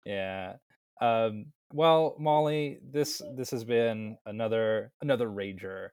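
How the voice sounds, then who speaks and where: A young man co-hosting a casual podcast, relaxed and easygoing, often stumbling over his words. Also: lowish voice, slow pace, clean tone, no room sound, studio quality. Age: young adult. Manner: easygoing, stammers and restarts. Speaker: podcast co-host. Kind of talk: casual chat.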